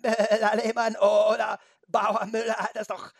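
A grumpy storybook nanny goat, acted out by a man, bleating in a very high voice. She drags her words out slowly and complains defiantly. She is muddled and will not budge. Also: monotone, no room sound, clean studio sound. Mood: stubborn. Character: stubborn, muddled, grumpy female talking goat. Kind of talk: children's storybook character voice.

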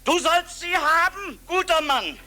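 A man's voice: very high voice, lively intonation, a little slow, bright, forceful tone, heavily acted. An actor playing a pompous king in a fairy-tale radio play, grand and commanding. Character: pompous old king, proud of his word.

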